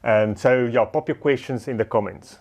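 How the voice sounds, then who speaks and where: A man's voice: medium pitch, normal speed, darkish tone. A man giving a casual, unscripted product test as a vlogger, thinking aloud in an informal, self-deprecating way. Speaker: vlogger testing motorcycle gear. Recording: noisy motorbike and outdoor background noise.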